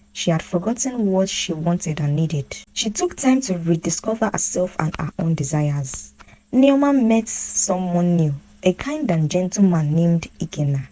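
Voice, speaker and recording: A woman's voice: lowish voice, mellow, low-quality recording. A woman reading a scripted folktale as a storyteller.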